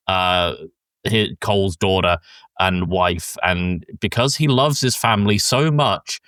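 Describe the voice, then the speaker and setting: A man with a fairly low voice talks on a podcast in a very dry-sounding space. He recaps a film casually, rambling and stopping to search for words, and he swears freely. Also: a bit bright, clear, resonant tone, no room sound. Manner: rambling and halting, frequent swearing. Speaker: podcast host.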